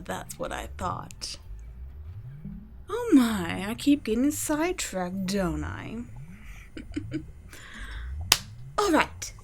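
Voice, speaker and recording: A woman voicing a cold, teasing female vampire scientist in an ASMR roleplay, speaking softly and often in a whisper, mocking and condescending. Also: a little high, exaggerated voice. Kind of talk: ASMR roleplay audio drama.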